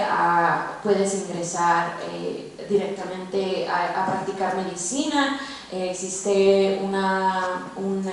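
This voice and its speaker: A woman in her twenties with a Mexican accent speaks in public about her own life. Her voice sits at an average pitch, and her phrasing is hesitant and conversational, dotted with tag questions. The recording is heavily reverberant, with faint noise underneath. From born in Mexico, grew up in Los Angeles, United States. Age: 20s. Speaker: guest speaker, activist and veteran. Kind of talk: personal testimony in a public talk. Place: large university lecture hall, indoors. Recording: echoey hall, distant mic, faint noise.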